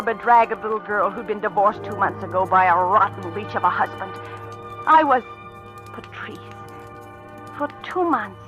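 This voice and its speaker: An actress playing an anxious, defensive young divorcee in a 1940s radio drama, speaking in a dramatic confessional manner. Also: female voice, mid-range voice, close to an everyday voice. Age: young adult. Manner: confessional, dramatic, hesitant in dialogue. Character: anxious, defensive young divorcee living under false identity. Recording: vintage radio broadcast, music behind.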